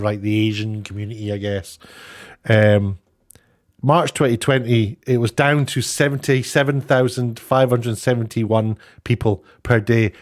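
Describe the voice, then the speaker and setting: Guy ranting informally to his audience, sardonic, kinda halting, hammers his points. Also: mid-range voice. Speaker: online commentator. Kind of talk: informal opinionated commentary.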